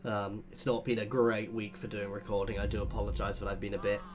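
A man's voice: on the low side, level tone, fast talker, slight background noise. A man with a bunged-up cold, chatting and thinking aloud as he commentates his own strategy game playthrough. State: congested from a cold. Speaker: let's play host.

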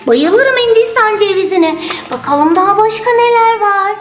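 A woman's voice: extremely high pitch, expressive, moderate pace. A woman voicing a playful, greedy toy turtle in a children's toy story, exclamatory and sing-song. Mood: gleeful, hungry. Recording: roomy sound with music underneath.

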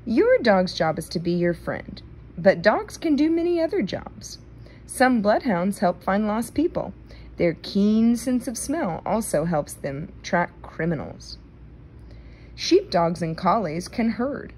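A woman reading a children's nonfiction book aloud in a careful, deliberate manner. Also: medium pitch, sing-song, a little mellow, slight background noise. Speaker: read-aloud reader.

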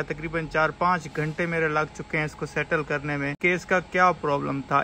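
Male repair technician, bright forceful voice, explanatory tutorial delivery, recorded over workshop noise. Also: a little high. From Pakistan. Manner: explanatory, step by step. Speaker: repair technician and video host. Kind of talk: informal hands-on repair tutorial. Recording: clear background noise in a workshop.

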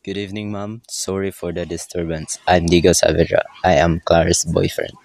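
Man as polite, deep-voiced young boyfriend. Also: little pitch movement, brisk, vocal fry, a little mellow, no room sound. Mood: apologetic. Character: polite, apologetic young varsity athlete boyfriend. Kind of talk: amateur radio drama acting.

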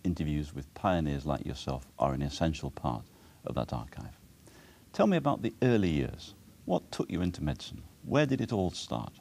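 Very deep, gravelly male interviewer. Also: sing-song, darkish tone. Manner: measured, prompting questions. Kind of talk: archival interview questions.

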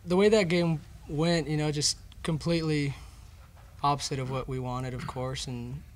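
A man's voice: fairly high pitch, steady tone, moderate pace, a little hiss or hum. A young man, a college baseball player, earnestly answering questions about his team at a press conference. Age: young adult. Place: indoor press conference room. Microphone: table microphone.

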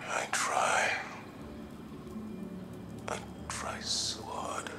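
A man playing a weary, grieving military commander in a video game, deep and breathy, halting and restrained. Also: whispered. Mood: subdued grief.

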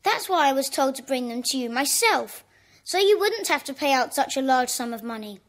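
A woman's voice: high voice, lively intonation, moderate pace. A woman playing a polite, earnest young British boy in a children's audio drama. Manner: polite, eager.

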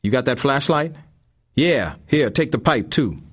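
A man voicing menacing street thugs in a read-aloud story, talking rough and loud. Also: mid-range voice, expressive, a little slow, pitched above the performer's own voice. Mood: tense. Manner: shouting, rough street talk. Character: menacing street thug men, rough and threatening.

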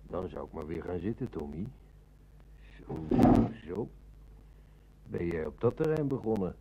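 An actor with a Netherlands Dutch accent playing a stern, shrewd police inspector in an old radio drama, speaking with dry authority. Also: male voice, sits low, expressive, unhurried, vocal fry, highs cut off, noisy background, exaggerated voice.

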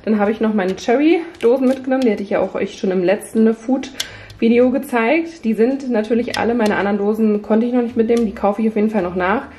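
A woman's voice: a little high, a little fast, warm tone, a little hiss or hum. A woman in her thirties chatting casually through a family grocery haul in her kitchen, showing each item to her viewers. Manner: chatty, upbeat product run-through. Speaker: family vlogger. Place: home kitchen at night.

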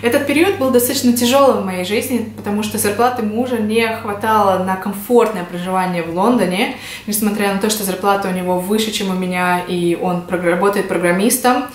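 A woman's voice: medium pitch, steady tone, brisk, a little hiss or hum. A woman in her twenties from Russia, talking casually to the camera as she explains things in a vlog. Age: 20s. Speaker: vlogger. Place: small indoor room at home. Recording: echoey home room.

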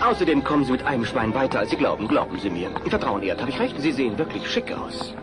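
An actor playing a smooth-talking, melodramatic party caterer in a sitcom audio drama, glib and theatrical. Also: male voice, mid-range voice, sing-song, fast talker, loud music behind the voice, lightly acted. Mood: glib, persuasive.

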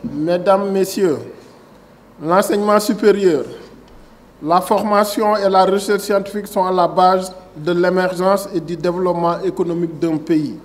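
A man's voice: sits high, fairly even intonation, normal speed. Senegalese man giving a formal speech. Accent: Senegalese French. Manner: reads prepared text, then digresses with many fillers and restarts. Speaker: agency director giving a speech. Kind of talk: formal speech at a signing ceremony. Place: indoor conference room. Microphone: table microphone.

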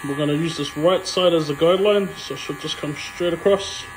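A man's voice: fairly high pitch, brisk, background noise. Young male barber explaining a haircut. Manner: relaxed, plain instructions with frequent ums. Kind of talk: hands-on haircut tutorial.

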